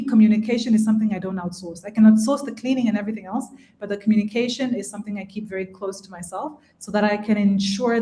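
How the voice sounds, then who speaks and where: A woman from Uganda, presenting her property deals to a judging panel over a live call in a measured, businesslike way. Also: medium pitch, dark tone. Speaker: presenting investor.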